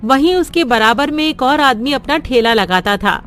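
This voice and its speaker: A woman narrating a cartoon story in a plain, steady way. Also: high-pitched, normal speed, soft background music. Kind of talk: scripted narration.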